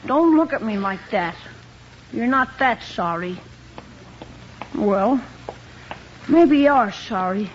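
An eager high-pitched boy. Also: fairly bright tone, full character voice. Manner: eager, wheedling, boyish. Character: cheeky adolescent boy nephew. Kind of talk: 1950s radio sitcom acting. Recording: muffled old radio with faint hiss.

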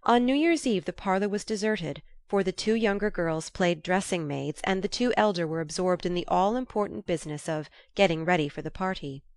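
Woman narrating, lowish voice, close dry sound. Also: brisk, few pauses, no echo. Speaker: audiobook narrator. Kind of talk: scripted literary narration.

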